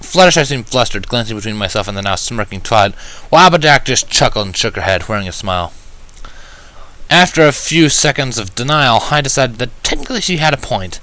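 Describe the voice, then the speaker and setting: A man reading fan fiction aloud as an expressive first-person narrator. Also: medium pitch, brisk, no echo, low-quality recording.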